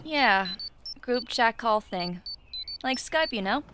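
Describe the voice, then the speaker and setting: A woman playing an easygoing teenage girl in an audio drama, soft and casual. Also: on the high side, expressive, slow, noisy background. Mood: casual, soothing.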